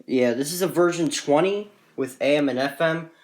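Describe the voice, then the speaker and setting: A man's voice: a little high, normal speed, slight background noise. Man giving a rambling show-and-tell. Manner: rambling, unscripted, matter-of-fact. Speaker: gadget collector presenting his collection. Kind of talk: casual off-the-cuff show-and-tell. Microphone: handheld phone.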